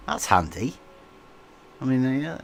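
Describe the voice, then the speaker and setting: A man's voice: mid-range voice, very animated, a little slow, vocal fry, slight background noise. A man co-hosting a livestream, chatting casually and chuckling as he reads out viewer comments. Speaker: livestream co-host.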